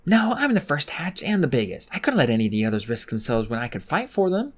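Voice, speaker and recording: A reader voicing a big, gentle young male dragon who talks in a hesitant, apologetic way. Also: medium pitch, very animated, fast.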